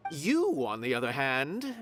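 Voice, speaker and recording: A woman's voice: very deep voice, huge pitch swings, unhurried, faint music behind, heavily acted. A woman voicing an aloof, condescending art critic in a video game, musing and dismissive. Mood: haughty.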